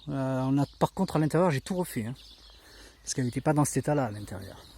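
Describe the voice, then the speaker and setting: A middle-aged man with a southern French accent from the Var talks easily and chattily, voice sitting at an ordinary mid pitch. He is filming himself outdoors in the countryside on a handheld camera. Faint birdsong and rural ambience drift in behind him. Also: fast talker, creaky, a little hiss or hum. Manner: chatty, relaxed, frequent fillers. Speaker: vlogger. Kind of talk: casual walk-through tour.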